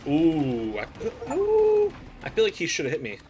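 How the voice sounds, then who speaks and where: Hoarse, laid-back man streaming a game. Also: on the high side, huge pitch swings, measured pace, a little mellow. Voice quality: raspy throat. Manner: laid-back, chatty, slangy asides to chat. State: hoarse, recovering from a cold. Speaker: gaming streamer. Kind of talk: casual live gameplay commentary.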